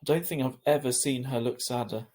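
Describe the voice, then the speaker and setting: A man reading a children's novel aloud as a teacher would, in expressive scripted narration. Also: medium pitch, a little fast.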